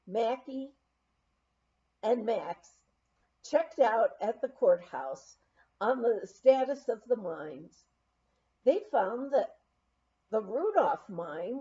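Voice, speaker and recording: A woman narrating a memoir as an audiobook, reading in a measured, expressive storytelling way with deliberate phrasing. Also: fairly high pitch, highs cut off.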